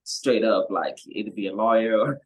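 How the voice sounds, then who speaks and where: Relaxed young man from Kenya. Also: lowish voice, unhurried. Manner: relaxed, rambling, many fillers. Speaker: musician guest. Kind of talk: casual interview chat.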